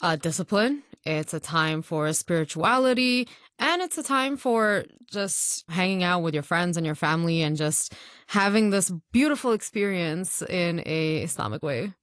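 A woman's voice: lowish voice, lively intonation, moderate pace, a bit bright, no room sound, clean studio sound. A woman from Hong Kong chatting casually as an interview guest.

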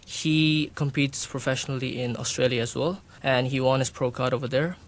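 Gravelly-voiced man telling his story. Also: medium pitch, fairly even intonation, moderate pace. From Hong Kong. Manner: rambling, many fillers and restarts. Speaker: guest, bodybuilder and personal trainer. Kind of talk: casual interview answers.

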